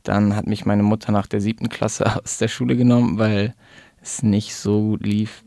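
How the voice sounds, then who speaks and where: Laid-back young man, low flat voice. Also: moderate pace, creaky, no echo. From Germany. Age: 20s. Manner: relaxed, rambling with fillers and restarts. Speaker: rapper guest. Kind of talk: casual interview chat.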